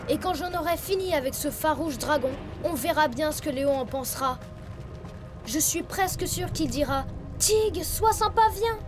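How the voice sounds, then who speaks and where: A young boy tiger cub in a cartoon, very high and childlike. He talks at an unhurried pace with an indignant, bragging air. Music runs underneath. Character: boastful, sulky young male tiger cub. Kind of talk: children's cartoon dub acting. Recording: music behind the voice.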